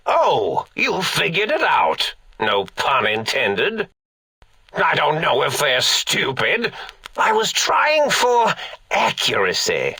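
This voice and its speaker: A nutty old scientist from a video game, raspy n high, swoops all over, forgetful and pompous. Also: male voice, wildly swooping pitch, takes it slowly, sharp tone, no echo, exaggerated voice. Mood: rambling, absent-minded. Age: older. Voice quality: creaky, raspy. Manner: rambling, pompous, absent-minded, melodramatic. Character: eccentric, forgetful elderly mad scientist, theatrical and rambling. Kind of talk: theatrical video game character acting.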